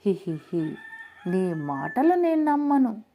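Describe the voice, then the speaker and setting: A woman's voice: medium pitch, big pitch swings, slow, warm tone, a little hiss or hum. A woman voicing a bloodthirsty, taunting female demon in a storybook tale. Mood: suspicious, demanding. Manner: threatening, taunting, cackling. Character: menacing, bloodthirsty female money demon, loud and mocking.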